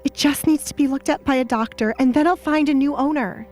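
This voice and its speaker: Casting: female narrator as a stubborn, desperate young lady, higher pitched, urgent, audio drama over faint music. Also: a little fast, a little mellow, close to an everyday voice. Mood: pleading, defiant. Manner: urgent, defiant. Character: defiant, mistreated young noblewoman, desperate and stubborn. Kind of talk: audio drama character acting.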